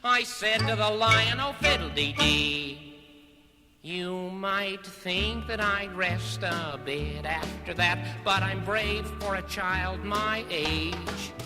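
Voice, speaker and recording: A woman's voice: medium pitch, slow pace, lightly acted. An earnest, childlike little boy puppet, acted by a woman on a 1960s children's record. He takes his time over the words, a bit boastful, in a long hall-like reverb with music around him. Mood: boastful, playful.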